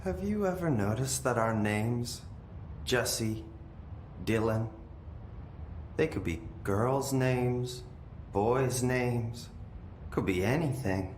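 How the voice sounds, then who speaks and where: An actor playing an eerie, calm young man held captive, speaking slowly and unsettlingly. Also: medium pitch, roomy sound, a little hiss or hum, natural voice. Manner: slow, drawn-out, unsettling.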